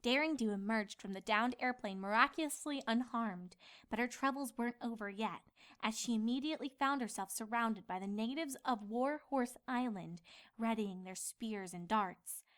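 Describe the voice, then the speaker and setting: A woman's voice: on the high side, normal speed, fairly bright tone, natural voice. A woman voicing an indignant, bookish young female pony who gets easily flustered in a comedic story reading. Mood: reading aloud. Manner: indignant, dramatic. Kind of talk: acted comedic fan fiction reading.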